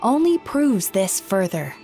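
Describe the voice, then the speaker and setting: An actress playing a composed, firm and caring dream therapist in an anime video game, speaking in a measured, explanatory manner. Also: female voice, mid-range voice, big pitch swings, dark tone, soft background music. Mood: earnest, firm.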